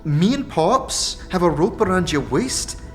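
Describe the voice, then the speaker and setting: A man plays a young tiefling rogue woman with a highly animated, swooping delivery. She is brash and impatient, pushing and coaxing in street slang, as in a fantasy audio drama, with faint music underneath. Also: measured pace, heavily acted. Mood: pushy, exasperated.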